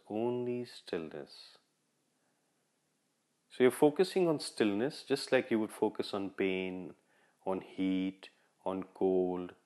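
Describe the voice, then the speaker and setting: A man leading a guided meditation in short, repeated instructional phrases, measured and with long pauses. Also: fairly low pitch, a little mellow. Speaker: meditation guide, podcast host.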